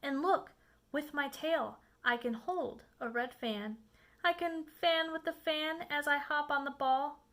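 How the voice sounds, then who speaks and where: A woman's voice: sits high, a little slow, a bit bright. A woman voicing a playful, boastful showman cat in a children's storybook, in a showy, coaxing, exclamatory way. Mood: excited, showing off. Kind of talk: storybook read-aloud.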